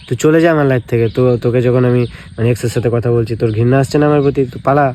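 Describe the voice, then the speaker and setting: A man's voice: medium pitch, fairly even intonation, rapid-fire, dark tone, slight background noise. A young man in casual, provocative phone banter, needling and dismissive, with asides to viewers. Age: young adult. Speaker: prank video creator. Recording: music behind the voice. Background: music.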